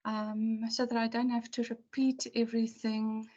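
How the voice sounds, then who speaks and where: A woman lecturer with a hoarse, croaky voice, talking to her class in a chatty, rambling and apologetic way on a video call. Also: fairly high pitch, level tone, normal speed, dark tone, lo-fi sound. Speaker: university lecturer.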